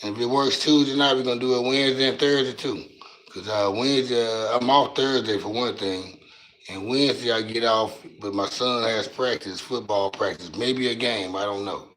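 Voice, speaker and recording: Raspy man hosting a casual livestream. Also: mid-range voice, steady tone, normal speed, fairly bright tone, poor audio. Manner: loose, profane, drawling street talk. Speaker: livestream host. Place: at home on a couch. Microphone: phone mic.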